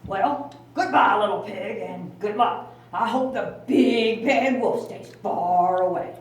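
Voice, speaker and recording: A woman playing a kindly, cautioning storybook tradesman with drawn-out, emphatic delivery in a children's puppet show. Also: mid-range voice, lightly acted. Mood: friendly. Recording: very echoey room, distant mic, faint noise.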